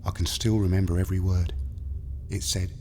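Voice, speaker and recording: A man's voice: low voice, steady tone, brisk, a little mellow. A man with a British accent narrating a scripted horror story in the first person.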